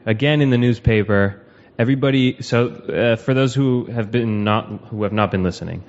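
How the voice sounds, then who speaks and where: A man's voice: medium pitch, normal speed. A man hosting a casual podcast, telling local stories in a chatty, rambling way with lots of asides. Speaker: podcast host. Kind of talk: casual chat. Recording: dull lo-fi podcast audio.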